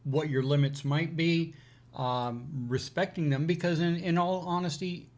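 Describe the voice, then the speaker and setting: Man with a halting, measured delivery. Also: on the high side, fairly even intonation. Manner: halting, measured, pausing mid-sentence. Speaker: co-host of an educational show. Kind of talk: conversational advice and personal anecdotes.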